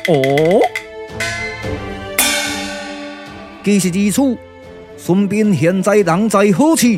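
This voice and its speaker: An ambitious ancient king in a puppet radio play, speaking with a Taiwanese accent. He declaims in a stately, unhurried way with pompous weight, over a music bed. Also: high voice, animated, a little mellow. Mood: expectant. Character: ambitious, demanding male king of ancient Qin. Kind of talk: Taiwanese glove-puppet radio drama acting.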